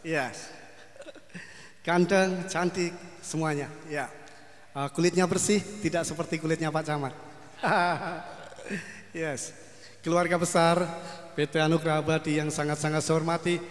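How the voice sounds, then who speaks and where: A man with a Javanese Indonesian accent gives a joking ceremonial speech. He talks slowly in a fairly high, flat voice. The sound rings out in a hall with a long reverb. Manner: joking, slow, flat delivery. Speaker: district head giving a speech. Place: large echoey hall.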